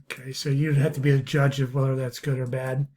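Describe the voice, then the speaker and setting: A man hosting a casual software demo video, talking in a rambling way and repeating himself. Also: medium pitch, flat delivery, a little fast. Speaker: video host, reviewer. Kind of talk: casual screen-recorded software demo narration.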